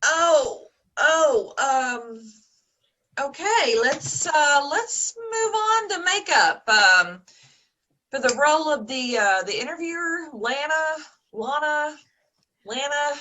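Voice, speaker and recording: A woman playing a frazzled, nervous amateur theater director in a web comedy, halting and anxious. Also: sits high, big pitch swings, pushed and bright, slight background noise, heavily acted. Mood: flustered. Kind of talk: comedic web series acting.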